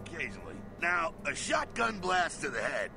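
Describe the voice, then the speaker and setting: An actor playing a gruff, cocky, streetwise vampire mentor in a video game, with a gravelly voice and blunt, swaggering delivery. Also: male voice, fairly high pitch, huge pitch swings, normal speed, music in the background, exaggerated voice. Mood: cocky, explanatory. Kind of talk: video game character acting. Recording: noisy game ambience behind.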